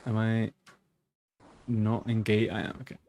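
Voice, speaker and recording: A man's voice: fairly low pitch, completely flat delivery, measured pace, a little mellow. A man in his thirties with a mild, worn-down London accent. He rambles in a loose, chatty way, answering viewers as a game streamer. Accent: softened London. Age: 30s. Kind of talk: casual live-stream chat.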